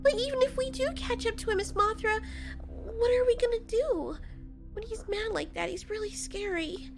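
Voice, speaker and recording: Woman doing a very high, timid little creature. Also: moderate pace, gravelly, soft background music. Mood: worried. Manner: childlike, polite, questioning.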